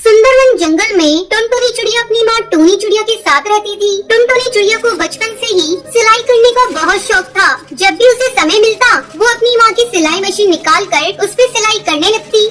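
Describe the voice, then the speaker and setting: A woman's voice: very high voice, moderate pace, hardly any pauses. A woman narrating a scripted children's cartoon story.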